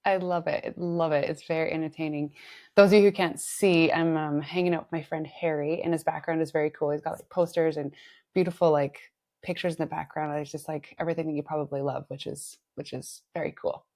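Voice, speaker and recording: A woman's voice: low voice, steady tone, fairly quick, darkish tone, no room sound, studio quality. A woman hosting a podcast over a video call, effusive and gushing, laughing often. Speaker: podcast host. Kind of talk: warm conversational interview.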